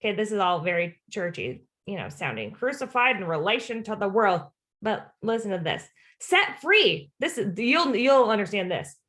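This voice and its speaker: A woman's voice: medium pitch, sing-song, moderate pace, fairly bright tone. A woman co-hosting a podcast, talking casually about faith with an emphatic, exclamatory delivery. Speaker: podcast co-host. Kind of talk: casual devotional discussion.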